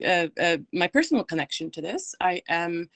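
A woman from Palestine, a guest on a radio talk show, speaking in a measured way with frequent fillers. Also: fairly low pitch, very animated, fairly bright tone, no room sound. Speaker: guest, advocacy director. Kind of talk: radio interview.

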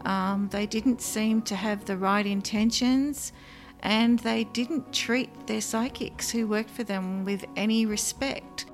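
A woman's voice: medium pitch, faint music behind. Earnest woman recalling her work. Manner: earnest, measured, with frequent ums. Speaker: former psychic line worker, guest. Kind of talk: interview, personal recollection.

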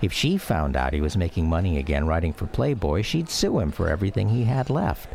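A man's voice: low-pitched, animated, brisk, gravelly, darkish tone. A man acting as a radio drama narrator, a grown son wistfully recounting memories of his father in scripted memoir style. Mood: reflective.

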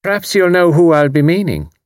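A man's voice: a little high, sing-song, a little fast, no room sound, studio quality, voice pushed up. A man voicing a genial but overbearing older Irish woman in an audiobook, blunt, emphatic and insinuating. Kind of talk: audiobook character voice.

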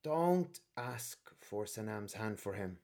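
A man acting in a radio play as an authoritative, conflicted middle-aged colonel and father, husky and emphatic. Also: on the low side, animated, slow pace, a little mellow. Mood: tense, weary.

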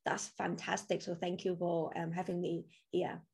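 A woman's voice: lowish voice, level tone, moderate pace, creaky, darkish tone, slightly rough. A woman from Hong Kong with Cantonese as her first language, telling her story as a podcast guest in a chatty way, with loose grammar and many fillers. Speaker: podcast guest, crypto coach. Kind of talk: casual interview chat.

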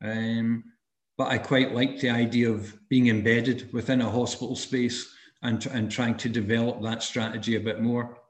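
Scottish man in his sixties, echoey call. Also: medium pitch. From Scotland. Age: 60s. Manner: thoughtful and unhurried, many ums and restarts. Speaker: interviewee, arts and health practitioner. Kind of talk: oral history interview. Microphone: video call. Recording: echoey room over a video call.

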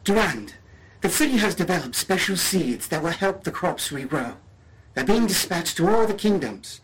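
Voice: medium pitch, big pitch swings, moderate pace, breathy edge, low-quality recording. A performer voicing a messenger bird that relays a captive's urgent, desperate plea in an audio drama.

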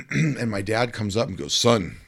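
A middle-aged man with a deep voice co-hosting a podcast, chatting casually and rambling a little, repeating words as he tells his stories. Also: big pitch swings, measured pace, creaky, a bit bright, breathy edge. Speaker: podcast co-host. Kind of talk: casual chat.

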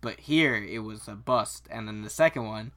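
A man's voice: fairly low pitch, moderate pace, sharp tone, slight background noise. A man co-hosting a podcast in casual, slangy chat, freely opinionated and sometimes profane. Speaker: podcast co-host.

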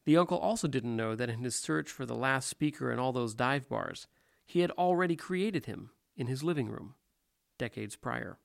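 A man hosting a podcast and reading a measured, scripted narration that links the interview clips. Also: medium pitch, lively intonation, vocal fry. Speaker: podcast host.

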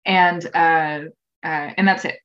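A woman's voice: low voice, steady tone, slow pace, a bit bright. A woman in her thirties chatting as an interview guest, rambling with many ums and restarts. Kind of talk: casual interview conversation. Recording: dull lo-fi audio.

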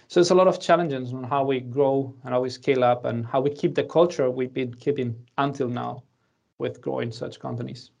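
Spanish-accented man with gravelly voice. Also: mid-range voice, darkish tone. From Spain. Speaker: podcast guest, engineering manager. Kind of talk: casual introduction.

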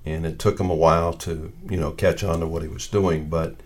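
Deep-voiced older American man reminiscing. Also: steady tone, fairly quick, a little mellow. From northeastern Ohio, United States. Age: around 70. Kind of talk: casual, meandering reminiscing.

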